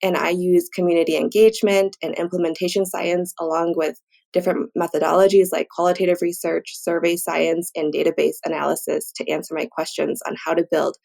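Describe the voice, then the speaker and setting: A woman with a fairly low voice and plenty of vocal fry speaks as an interview guest. She explains her points conversationally and informally, as a professional would on a podcast. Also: steady tone, a little fast, a little mellow. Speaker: guest, physician and researcher.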